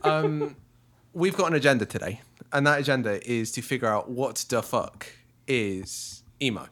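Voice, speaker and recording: A man hosting a music podcast in casual chat, joking and unhurried, with some swearing. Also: medium pitch, background noise. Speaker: podcast host.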